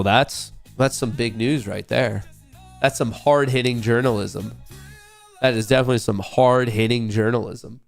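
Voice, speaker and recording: A young man hosting a loose, joking livestream, talking straight to his chat. His voice has a gravelly, fry-tinged edge and a slightly nasal sound from a cold he's getting over. Soft music plays faintly behind him. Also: mid-range voice. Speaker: livestream host.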